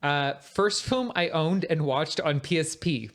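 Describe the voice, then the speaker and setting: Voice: medium pitch, a little slow. A podcast panelist chatting casually and reading short reviews aloud in an informal way.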